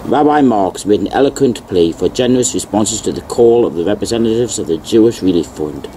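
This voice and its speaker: A man with a fairly low voice reads old newspaper articles aloud and adds plain commentary. His delivery is deliberate, and he repeats key phrases. A faint background noise runs under the voice. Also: expressive, mellow, low-quality recording. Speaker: video narrator.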